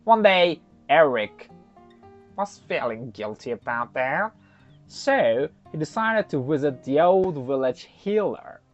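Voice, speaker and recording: A storyteller narrating a folktale in an expressive, dramatic way, with deliberate pauses. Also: mid-range voice. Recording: slightly muffled, soft music underneath.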